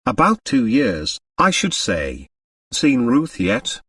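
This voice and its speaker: A man's voice: mid-range voice, wildly swooping pitch, slow, a bit bright, husky, no echo, studio quality, distant mic, exaggerated voice. A man voicing a lazy, boyish charmer in his thirties in an audiobook, airy, nonchalant and lightly ironic. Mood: pleasant, ironic. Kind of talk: audiobook character dialogue.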